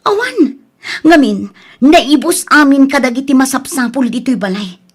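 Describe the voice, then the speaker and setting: A woman's voice: high voice, lively intonation, normal speed, slightly rough. A woman with an Ilocano accent playing an exasperated, overburdened daughter and elder sister in an audio drama. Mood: exasperated scolding. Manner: exasperated, reproachful. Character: overburdened, frustrated dutiful daughter and elder sister, about thirty. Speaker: drama actress.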